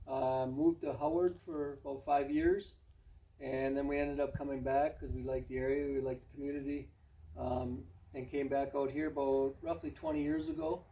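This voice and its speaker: A plain-spoken man in his forties who volunteers as a parish cook, chatting casually with many pauses. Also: medium pitch, creaky, warm tone. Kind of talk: casual chat. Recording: distant mic with faint noise.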